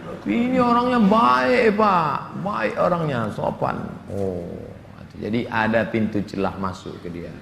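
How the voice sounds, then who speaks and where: Gravelly male preacher, echoing hall. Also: a little high, a little slow. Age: 40s. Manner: humorous, conversational, with dramatic asides and Arabic quotations. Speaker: Islamic preacher. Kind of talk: sermon answering audience questions, with jokes and acted-out dialogues. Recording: echoey hall with long reverb, background noise.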